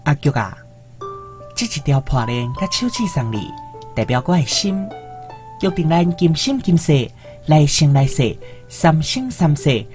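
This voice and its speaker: Woman doing earnest young taiwanese student guy wooing, lively intonation, radio drama with music behind. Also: sits low, measured pace. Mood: romantic. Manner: coaxing, ardent. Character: earnest, romantic young male student courting a girl.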